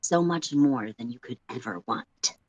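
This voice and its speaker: A co-reader narrating a dramatic story in a measured, portentous manner. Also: medium pitch, animated. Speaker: livestream co-reader.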